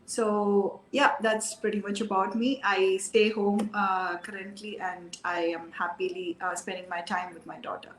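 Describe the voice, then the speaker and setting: A woman, a guest in an online talk, speaking hesitantly with many pauses and fillers. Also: mid-range voice, level tone. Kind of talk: casual chat. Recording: roomy with faint noise.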